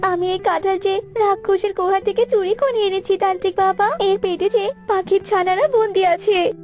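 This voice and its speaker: A very high-voiced cartoon mother bird, played by a woman, caring, worried and determined. She speaks earnestly, as in a fairy tale, with music softly behind her. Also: steady tone, normal speed. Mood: imploring. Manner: earnest, imploring.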